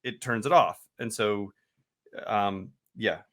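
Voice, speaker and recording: A man co-hosting an IT training video, explaining settings in a halting, loose way with many restarts. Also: fairly low pitch, a bit bright. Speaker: IT trainer, co-host. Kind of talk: casual tech explanation.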